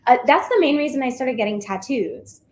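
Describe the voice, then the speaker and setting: Woman comic, high voice, dry deadpan jokes, slight room ambience. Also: brisk, slight background noise. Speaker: stand-up comedian. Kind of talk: stand-up set.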